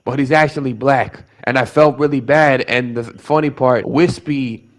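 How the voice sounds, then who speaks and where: A young man from the Bronx with a Bronx New York accent and Spanish as his first language, telling a rambling, animated story in a casual vlog, full of restarts and repeated words. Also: medium pitch, moderate pace. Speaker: vlogger.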